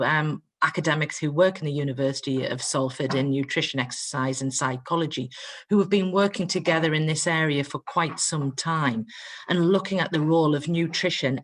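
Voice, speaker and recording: A middle-aged woman, a university dean, giving an earnest welcome speech over a video call, with frequent ums. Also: low voice. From not from Greater Manchester. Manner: warm, earnest, with frequent um fillers.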